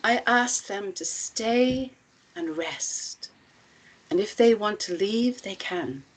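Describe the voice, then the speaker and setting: A woman playing an older, self-assured commune matriarch in a script table read, speaking in a measured, deliberate way with pointed rhetorical questions. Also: mid-range voice, animated, rough recording. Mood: calm, assured.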